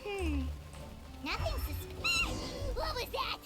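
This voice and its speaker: A woman voicing a curious childlike adventuring companion in a video game, animated and inquisitive. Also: extremely high pitch, very slow, over music, exaggerated voice.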